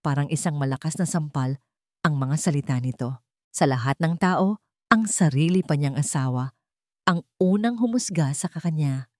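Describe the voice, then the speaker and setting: A woman's voice: low-pitched, moderate pace, darkish tone, no room sound, clean studio sound. A woman storyteller reading a scripted dramatic story.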